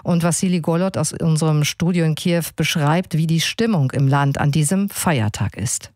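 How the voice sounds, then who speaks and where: A woman's voice: deep voice, moderate pace, a little mellow, no echo. A woman presenting radio news in a scripted but conversational style. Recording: dry studio sound.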